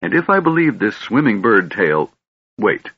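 A stern, suspicious knight lord who speaks clipped and guarded, as a man reading an audiobook plays him. The voice is low and husky. The recording is dry and a bit dull. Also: sing-song, normal speed, no room sound. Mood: puzzled. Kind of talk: audiobook character voice.

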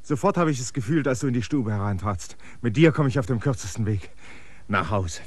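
Ein Schauspieler spielt in einem Hörspiel einen erschöpften, flehenden Landvermesser, der drängend und bittend spricht. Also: male voice, mid-range voice, expressive, brisk, natural voice. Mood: pleading. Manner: pleading, clinging, insistent. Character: weary stranded male land surveyor, pleading and insistent. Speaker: land surveyor character. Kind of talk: radio play acting.

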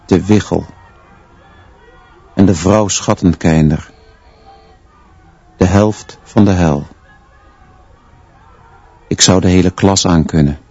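A brooding narrator in a radio play, very low and dark in tone. He measures out clipped, solemn sentences slowly, on a slightly dull recording. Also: husky, dry recording, full character voice. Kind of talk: literary radio drama narration. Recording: dull, faintly noisy recording.

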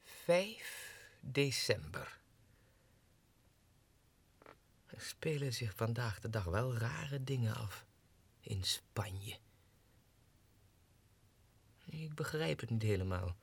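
An actor playing a deluded, self-important low-ranking Russian clerk going mad, in a theatrical radio drama monologue that swings between muttering and agitated outbursts. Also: male voice, lively intonation, takes it slowly, gravelly, long pauses, slightly rough, heavily acted. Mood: puzzled. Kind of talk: 1960s radio drama monologue, diary entries. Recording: dry 1960s radio studio recording.

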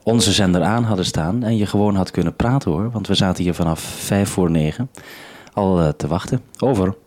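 A man's voice: deep voice, moderate pace, darkish tone. A Dutch man from the Netherlands presenting radio, talking casually and solicitously over a two-way link. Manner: solicitous, questioning. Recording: noisy, clicky 1971 radio recording.

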